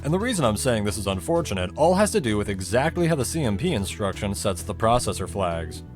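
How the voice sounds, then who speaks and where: A man's voice: lowish voice, big pitch swings, fast talker. A man giving a scripted, explanatory video narration in a conversational way, with dry asides and rhetorical questions. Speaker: video creator narrating a technical game explainer. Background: music bed under the voice-over.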